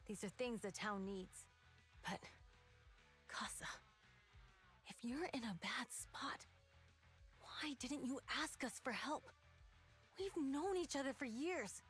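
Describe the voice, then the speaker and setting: Woman as a caring level-headed girl in a game, normal pitch, sincere, trying to reason. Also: close to an everyday voice. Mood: concerned.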